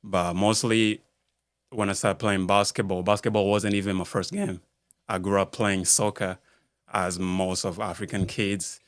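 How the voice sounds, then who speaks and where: Deep, flat-voiced man from Rwanda. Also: dry recording, studio quality. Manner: halting, searches for words, many fillers. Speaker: basketball skills coach. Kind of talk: casual podcast interview. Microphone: studio mic.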